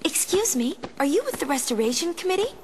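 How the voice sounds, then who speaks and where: A woman's voice: very high-pitched, very animated, fast, a little hiss or hum. An actress playing a tiny, pert, chatty fairy girl in a video game. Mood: pert, inquisitive. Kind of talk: video game cutscene acting.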